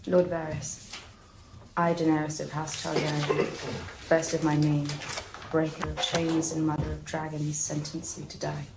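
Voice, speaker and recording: An actress playing a young imperious queen, formal, solemn and measured, at a drama table read. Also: low voice, completely flat delivery. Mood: cold, stern. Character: young imperious dragon queen passing sentence. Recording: roomy, noisy meeting hall.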